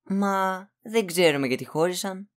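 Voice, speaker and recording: A woman's voice: mid-range voice, natural voice. A woman playing a gullible, fawning male assistant in an audio drama, deferential and naive. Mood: doubtful, naive. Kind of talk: Greek audio drama acting.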